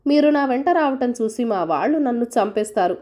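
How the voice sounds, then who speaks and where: A young village woman from a storybook, humble and fearful, played by a woman narrator. She pleads respectfully in a high voice with lively swings of pitch. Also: a little fast, lightly acted. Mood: pleading.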